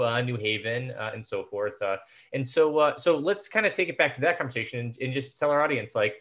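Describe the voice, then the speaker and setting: Male podcast host, mid pitch, loose and filler-heavy. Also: a bit bright. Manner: filler-heavy, rambling questions. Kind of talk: casual interview hosting, and reading a sponsor message.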